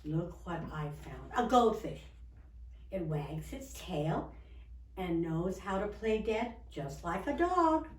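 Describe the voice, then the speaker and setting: A woman's voice: fairly low pitch, big pitch swings, takes it slowly, reverberant, far from the mic. A woman voicing an eager, pushy man who runs an animal shelter, coaxing like a salesman in a storybook reading. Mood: excited. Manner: coaxing, exclamatory sales patter.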